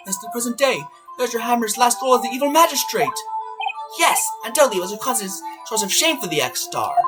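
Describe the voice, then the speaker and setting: A woman's voice: fairly high pitch, expressive, fast, natural voice. A woman voicing an earnest young male defense lawyer in an amateur game fandub, insistent and theatrical. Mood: grave, accusing. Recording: loud music behind the voice. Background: loud music.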